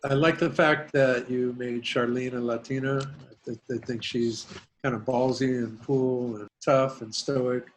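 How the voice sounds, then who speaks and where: Even-toned man giving plainspoken opinions. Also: mid-range voice, measured pace, a little hiss or hum, poor audio. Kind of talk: conversational script feedback.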